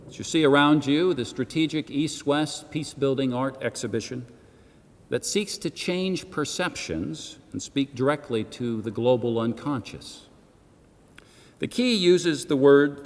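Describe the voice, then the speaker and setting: A man delivering a formal public speech with measured, emphatic oratorical phrasing and the occasional rhetorical aside. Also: fairly high pitch. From Senegal. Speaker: arts organisation president giving a launch speech. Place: church hall, addressing a gathering. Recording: long echoing reverb of a large hall.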